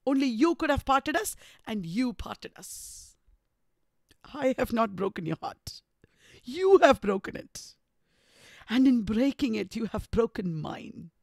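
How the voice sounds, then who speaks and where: A woman's voice: a little high, very animated, takes it slowly, vocal fry, darkish tone, no room sound, studio quality, pitched above the performer's own voice, exaggerated voice. A woman reading the part of an anguished, savage, passionate male lover in an impassioned, accusing manner from a classic novel. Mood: accusing, heartbroken.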